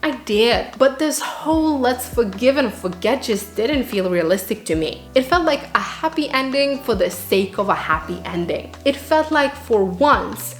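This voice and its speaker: A woman's voice: a little high, animated, unhurried, few pauses. A woman giving an earnest, opinionated book review with emphatic phrasing, in the manner of a reviewer speaking to followers. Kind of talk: dramatic spoken book review. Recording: echoey room with music underneath. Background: background music.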